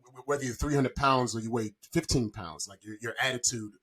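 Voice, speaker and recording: A man's voice: mid-range voice, fairly quick, fairly bright tone. A man in his forties chatting casually on a podcast, speaking candidly and loosely in slangy, rambling phrases. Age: 40s.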